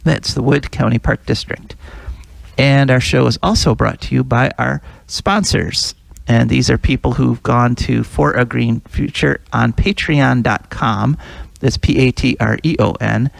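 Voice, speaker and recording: Very gravelly male radio host. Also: medium pitch, steady tone, a little slow, no echo, low-quality recording. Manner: easygoing, reads sponsor notes, prompts his co-host. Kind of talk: casual radio chat.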